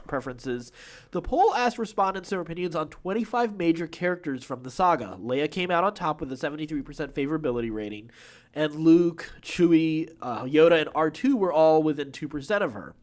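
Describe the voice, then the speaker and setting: A man co-hosting a podcast, casually going over pop culture news and opinions. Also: a little high. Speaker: podcast co-host.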